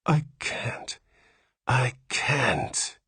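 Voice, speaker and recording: A man's voice: a little high, huge pitch swings, unhurried, fairly bright tone, husky, no echo, voice pushed up, heavily acted. A man voicing a frantic, horrified father in a storybook reading, who thunders his outbursts.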